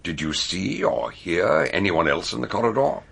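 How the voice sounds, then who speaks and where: A man playing an eccentric, shrewd detective doctor in a radio drama, deliberate and musing as he questions and narrates. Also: deep voice, sing-song, gravelly, fairly bright tone, husky, full character voice. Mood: inquisitive. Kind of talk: radio drama acting and first-person narration.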